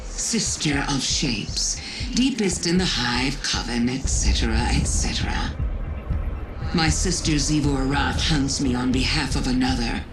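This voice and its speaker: Sly alien witch queen for a game, slow, theatrical, mocking, echoing over music. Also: a bass voice. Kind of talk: scripted video game cutscene acting. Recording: roomy echo, music behind.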